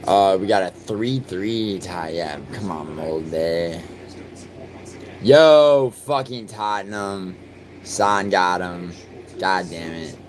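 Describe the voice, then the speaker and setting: A man from New York City streaming live, chatting casually and cursing in excitable outbursts between mumbled fillers. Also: low voice, takes it slowly, a little hiss or hum. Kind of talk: casual live stream chat, reacting to soccer and reading chat.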